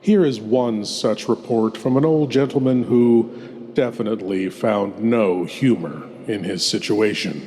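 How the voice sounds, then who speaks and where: A man playing a sinister, courtly horror host lord, narrating in a deliberate, theatrical, ominous manner. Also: lowish voice, dark tone. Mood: grave, measured. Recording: long hall-like reverb.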